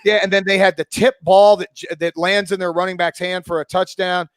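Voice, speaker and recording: A man's voice: sits high, little pitch movement, a little fast, a bit bright, dry recording. A man in his forties talking sports casually as a pundit, blunt and opinionated, swearing freely. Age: 40s. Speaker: college football pundit and podcast guest.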